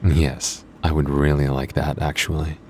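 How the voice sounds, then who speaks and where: Very deep, teasing vampire boyfriend, acted by a man. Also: little pitch movement, unhurried, a little mellow, a little hiss or hum. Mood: playful.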